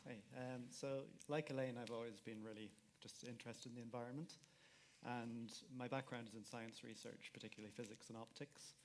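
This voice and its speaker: A man speaks as a panelist in a flat, even tone and hedges casually. Faint noise sits behind him in the hall. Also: medium pitch, fairly quick. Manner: casual, hedging with "kind of". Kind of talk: panel discussion. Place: event hall with audience.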